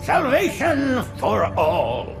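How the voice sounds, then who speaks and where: High-voiced, creaky alien prophet. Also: male voice, huge pitch swings, extremely slow, over loud music, heavily acted. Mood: pious rapture. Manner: preaching, ornate, reverent delivery. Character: sanctimonious, aged alien prophet, grandly pious. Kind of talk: video game cutscene acting.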